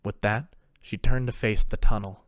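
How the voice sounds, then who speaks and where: A man's voice: fairly low pitch, fairly even intonation, normal speed, no room sound, clean studio sound. A man reading scripted story narration as the narrator of a fan fiction tale.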